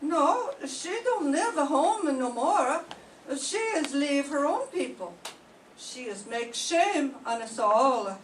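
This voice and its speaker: A woman's voice: high-pitched, lively intonation. Older woman playing a frightened immigrant widow in broken English. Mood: wary. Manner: halting broken English, pleading. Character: poor widowed immigrant mother, proud, frightened. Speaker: amateur radio drama performer. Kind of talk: live reading of a 1940s radio drama. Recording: echoey room, distant mic, faint noise.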